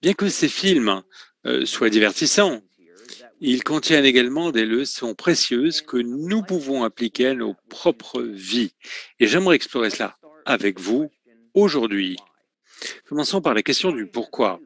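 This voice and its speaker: A man giving a French voice-over of a conference talk, speaking in a measured way with frequent hesitations and self-corrections. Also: medium pitch, animated, a bit bright.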